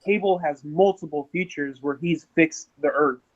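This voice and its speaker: A man in his thirties chatting casually, often stammering and restarting, growing animated when excited. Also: a little high, normal speed. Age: 30s. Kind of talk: casual chat. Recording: dull lo-fi sound.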